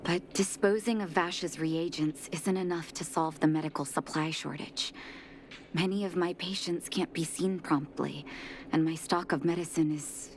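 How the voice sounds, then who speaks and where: Casting: a calm, caring game doctor, lowish voice. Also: female voice, normal speed, slight background noise. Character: gentle, composed adult woman doctor. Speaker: game character.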